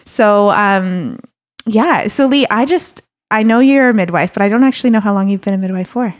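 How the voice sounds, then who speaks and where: A woman's voice: mid-range voice, normal speed. A woman hosting a podcast interview, speaking in a friendly, chatty way and often affirming her guest. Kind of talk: casual conversational interview.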